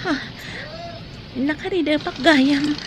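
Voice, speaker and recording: A woman's voice: high-pitched, slow pace, noisy background. A woman acting a whiny, plaintive young female in a radio drama. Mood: sheepish. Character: plaintive young female who slipped and hurt her foot.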